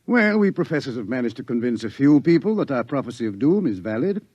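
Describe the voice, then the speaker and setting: A man's voice: medium pitch, very animated, fast talker, darkish tone, no room sound, highs cut off. An actor playing a male professor in a 1950s radio drama, explaining the coming doom with some hesitation. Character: male professor, scientist, wry and grave. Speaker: scientist.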